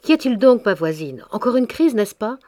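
A bustling old neighbor woman with a gruff, bossy way of fussing over people, as a woman narrator puts her on. Her voice sits a little low, and she gives orders and comfort in the same brusque breath, like a storybook busybody with a soft heart. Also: normal speed, natural voice. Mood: alarmed.

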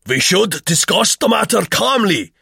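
Male narrator as German husband, anxious, deferential, raised pitch, storybook character. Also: huge pitch swings, very slow, pushed and bright, slightly rough, dry recording, studio quality, exaggerated voice. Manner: hasty, anxious.

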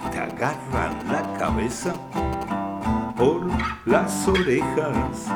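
A man with an Argentine accent singing his own songs in an unhurried, drawn-out way. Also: a little high, very animated. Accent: Argentine Spanish. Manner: unhurried, drawn-out sung phrasing. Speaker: singer-songwriter. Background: acoustic guitar accompaniment.

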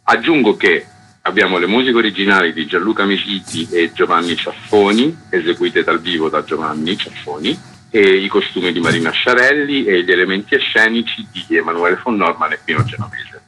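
A man's voice: low voice, brisk, bright, forceful tone, slight background noise, poor audio. A man who organizes a theatre festival, steadily listing program details in a radio interview.

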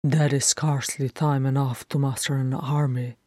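A sulky, proud male dwarf from a fantasy audiobook, acted out by a woman. The voice is low and rough, with a dark tone. The wording is curt and archaic. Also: flat delivery, moderate pace, no echo, clean studio sound. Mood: gruff, sullen. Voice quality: rough, gruff. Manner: curt, formal, archaic speech.

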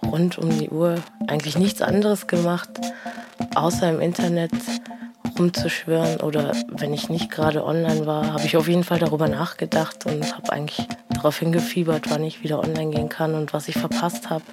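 A woman's voice: low voice, flat delivery, fairly quick, over loud music. A woman thinking aloud about her own experience in an unscripted, thoughtful audio diary style. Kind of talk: spoken personal reflection, audio diary.

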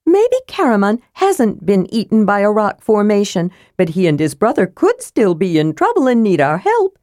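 A woman's voice: medium pitch, huge pitch swings, moderate pace, darkish tone, dry recording, studio quality. A woman voicing a small, curious and chatty male kender adventurer in a fantasy audiobook, with earnest, childlike enthusiasm. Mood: eager.

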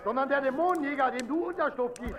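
A man's voice: extremely high pitch, fast, heavy background noise. An actor in a radio play playing a pushy village goatherd who acts as headman, declaiming insistently. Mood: urgent, menacing.

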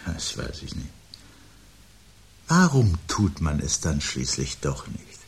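A really deep husky guy, dry irony, brooding, measured radio drama talk. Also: huge pitch swings, full character voice. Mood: resigned, ironic. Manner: measured, dry, articulate, formal. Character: brooding, dryly ironic man awaiting looming catastrophe at home. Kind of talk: literary radio play dialogue.

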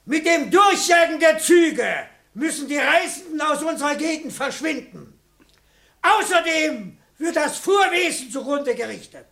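An actor in a 1970s German radio play, playing an indignant, grumbling small-town voter who heckles querulously. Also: male voice, very high-pitched, lively intonation, measured pace, vocal fry, pushed and bright, exaggerated voice.

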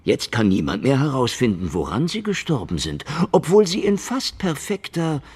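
An actor playing a cynical, world-weary Soviet secret-police detective in a hardboiled radio drama, sardonic and slangy. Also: male voice, mid-range voice, huge pitch swings, moderate pace, darkish tone, husky. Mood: sardonic, challenging. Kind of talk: noir radio drama acting and narration.

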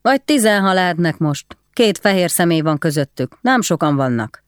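A woman's voice: fairly low pitch, expressive, clean tone, dry recording, studio quality, natural voice. A woman voicing a soldier who speaks in a plain, matter-of-fact way.

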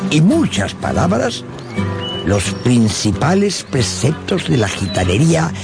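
Man, theatrical storyteller, hugely varied intonation, creaky voice, dramatic pauses, clear music underneath. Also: on the low side. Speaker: narrator.

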